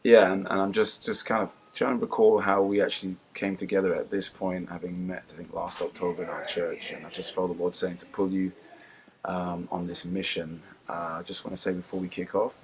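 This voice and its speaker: A man hosting a church interview, speaking haltingly with frequent ums as he welcomes and thanks his guest. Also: deep voice, poor audio. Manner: halting, many ums, warm and appreciative. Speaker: pastor and interviewer.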